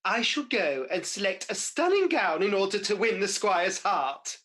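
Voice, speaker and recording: A husky pantomime mother, acted by a woman, vain and flirtatious. She gushes theatrically, with her pitch swinging widely in radio-play style. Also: big pitch swings, normal speed, a bit bright. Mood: vain, determined.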